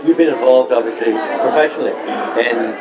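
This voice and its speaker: A man's voice: mid-range voice, normal speed. A man asking informal interview questions as an interviewer. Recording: echoey room with loud crowd chatter. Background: loud chatter of people.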